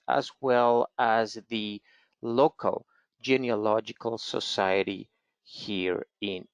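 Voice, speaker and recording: A middle-aged man with a Venezuelan Spanish accent presenting a live webinar demo, unhurried and chatty, with lots of fillers. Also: medium pitch, no echo. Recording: slightly dull lo-fi webinar audio.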